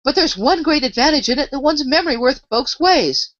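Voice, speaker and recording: A woman's voice: high voice, expressive, brisk, dry recording, highs cut off, voice pushed up. A woman voicing a scatty, imperious old queen in an emphatic, dotty manner for a storybook reading. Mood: confident, airy. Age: older. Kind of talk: storybook character voice.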